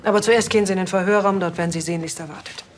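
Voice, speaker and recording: A woman as a fast, cutting police chief. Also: mid-range voice, fairly even intonation, slight background noise, natural voice. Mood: exasperated. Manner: dry, cutting, impatient. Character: exasperated, sarcastic female police chief.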